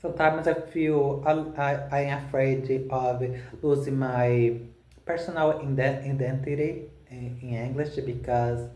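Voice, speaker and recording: A man with a Brazilian accent, a native Portuguese speaker practicing spoken English, talking haltingly with many restarts and fillers. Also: medium pitch, fairly even intonation, darkish tone, reverberant. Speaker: language learner.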